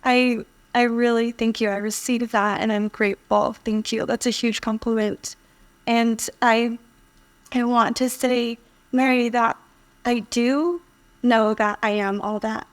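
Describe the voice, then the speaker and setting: Earnest middle-aged woman, higher-pitched voice. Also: fairly even intonation, measured pace. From Hawaii, United States. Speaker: podcast guest, fashion influencer. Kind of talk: personal conversational storytelling.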